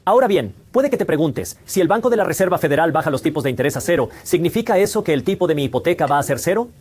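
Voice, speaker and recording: A man narrates a scripted explainer in a fairly high-set voice. He moves very quickly through his points. His manner is didactic, posing questions and answering them like a teacher. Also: a little mellow, breathy edge. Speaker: host of a finance explainer channel. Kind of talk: scripted explainer narration, dubbed from another language.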